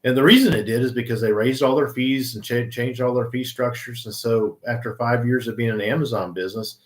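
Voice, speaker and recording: A man's voice: mid-range voice, a little fast. Oklahoma guy in his 60s, rambly and folksy, lots of "you know". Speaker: co-host of a live online training. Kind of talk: casual live-stream chat.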